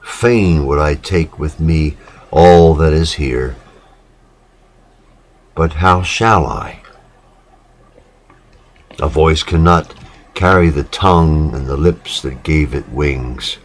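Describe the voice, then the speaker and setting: A man giving a solemn literary reading, measured and dramatic, with pauses between short phrases. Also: extremely low pitch. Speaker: reader of poetic literature.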